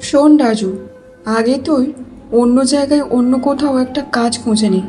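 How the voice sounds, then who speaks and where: Woman acting caring worried mother, fairly high mellow voice, cartoon dialogue, soft music. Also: normal speed, close to an everyday voice. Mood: worried, advising.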